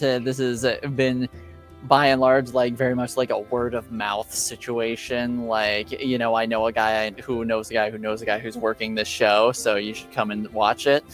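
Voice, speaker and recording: A man describing a scene in a tabletop game, hesitant with many fillers. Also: mid-range voice.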